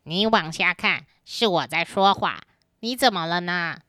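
An enchanted male frog voiced by a woman bargains plaintively in a children's radio play. The delivery is very slow and deliberate. The tone is bright and clean, recorded close and dry. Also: fairly low pitch, clean tone, no room sound, pitched below the performer's own voice. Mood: calling out. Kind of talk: children's audio drama.

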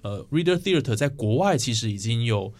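A man with a Taiwanese Mandarin accent hosts a studio interview over soft music. His pitch is mid-range, and he often sums up and seeks agreement with short tag questions. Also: animated, moderate pace. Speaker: programme host.

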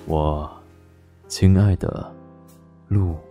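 A seductive, mischievous pastry chef with a low-pitched voice. He speaks Taiwanese Mandarin in a smooth, teasing, doting way, as in a romantic radio play. Soft music plays behind him. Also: fairly even intonation, very slow. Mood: flirty teasing. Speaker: voice actor in audio drama. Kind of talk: Mandarin BL audio drama acting.

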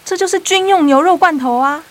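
An actress playing an eager, sweet young daughter and little sister in a radio drama, with a Taiwanese accent. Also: female voice, very high voice, brisk.

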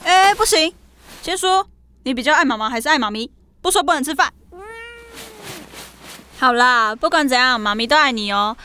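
A woman's voice: high-pitched, expressive, normal speed, long pauses, sharp tone, a little hiss or hum. A woman playing an affectionate young office worker and doting pet owner in an audio drama. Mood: doting, teasing. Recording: music under the voice.

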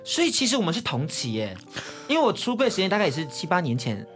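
A woman's voice: on the low side, huge pitch swings, fast talker, over music. A woman with a Taiwanese Mandarin accent hosting a podcast in casual, teasing chat. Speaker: podcast host.

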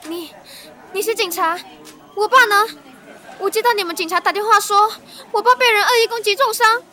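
A woman's voice: extremely high pitch, brisk, pushed and bright, a faint crowd. An actress in an audio drama playing a proud, troubled young woman in family distress. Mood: panicked, distraught. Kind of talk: modern Mandarin audio drama acting.

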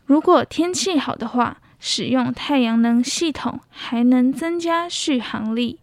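Young taiwanese woman doing a student news report, really high voice, dry close sound. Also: measured pace, no room sound. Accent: Taiwanese Mandarin. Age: young adult. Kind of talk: scripted news narration.

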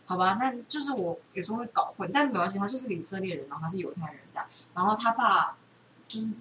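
A woman's voice: medium pitch, animated, fast talker, sharp tone, roomy sound. A woman with a Taiwanese accent chatting casually as a podcast host, thinking aloud in a rambling way. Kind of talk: casual chat. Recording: distant mic with faint background noise.

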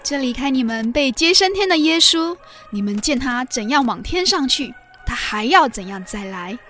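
A woman's voice: high-pitched, animated, normal speed, fairly bright tone, faint music behind. A woman playing a solemn angel messenger, declaiming in a religious radio drama. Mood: solemn proclaiming.

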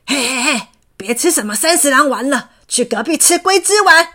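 A woman voicing loud, bullying male henchmen who bluster and shout threats. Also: high-pitched, expressive, normal speed, pitched above the performer's own voice. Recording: background music.

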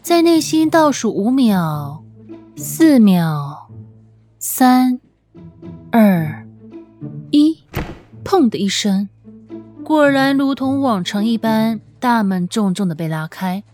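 Slow, higher-pitched woman narrating fiction. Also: very animated, a little mellow. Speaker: audiobook narrator. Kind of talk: expressive scripted fiction narration. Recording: music under the voice. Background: background music.

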